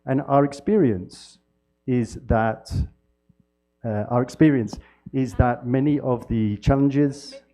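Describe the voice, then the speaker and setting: A man from the United Kingdom giving a university lecture as a guest speaker, with a loud voice and a friendly, halting delivery full of fillers and restarts. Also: medium pitch. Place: lecture hall. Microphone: handheld mic.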